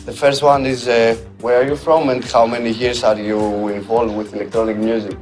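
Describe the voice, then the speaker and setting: A man's voice: medium pitch, little pitch movement, moderate pace, darkish tone, soft background music. A man interviewing a guest, asking fan questions in an easygoing way with fillers. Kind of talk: casual interview.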